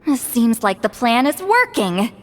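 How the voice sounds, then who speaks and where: An actress playing a wise, wry elderly woman who guides spirits, speaking in an unhurried storytelling way for a video game. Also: a little high, sing-song, slight background noise. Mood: calm, assured.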